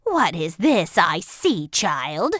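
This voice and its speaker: Lady doing a mean demanding mom from a fairy tale, emphatic, big swings in pitch. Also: sing-song, slow, a bit bright, no room sound, clean studio sound, full character voice. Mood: astonished. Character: harsh, demanding widowed mother.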